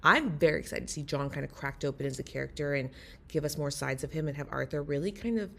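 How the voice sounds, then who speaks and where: A woman's voice: low-pitched, fast talker, creaky, slight background noise. A woman recording a listener voice message of enthusiastic, earnest fan commentary. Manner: excited, earnest.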